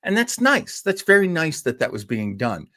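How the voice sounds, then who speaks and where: A man hosting an old-time radio show, telling its history informally, with emphatic pauses and repetition. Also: fairly high pitch, huge pitch swings, sharp tone. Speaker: host presenting old-time radio shows. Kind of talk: informal storytelling lecture.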